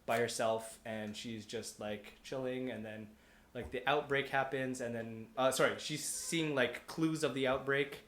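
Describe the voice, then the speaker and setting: A man's voice: mid-range voice, fairly bright tone. A man telling a story casually and off the cuff, rambling and often correcting himself as he goes. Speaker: podcast panelist pitching a movie adaptation. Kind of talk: casual, unscripted storytelling among friends. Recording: roomy, echoing room.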